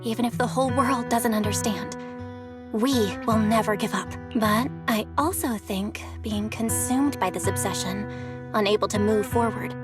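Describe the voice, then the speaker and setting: Actress as young game heroine, supportive and earnest, voice a bit high, music behind her. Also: moderate pace, natural voice. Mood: earnest, reassuring. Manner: earnest, reassuring. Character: caring, steadfast young woman, a supportive ally. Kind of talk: English video game voice acting.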